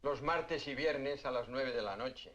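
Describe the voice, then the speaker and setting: A man's voice: medium pitch, normal speed, a bit bright, muffled recording, lightly acted. An actor playing a polite, helpful Mexican barman in a 1950s film, with a Mexican Spanish accent. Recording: old film soundtrack, background noise.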